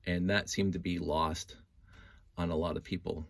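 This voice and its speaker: A man's voice: sits low, little pitch movement, normal speed, gravelly. A man in his fifties giving a casual, opinionated monologue, earnest and often interrupting himself as he thinks. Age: 50s. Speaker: host of a story-time monologue.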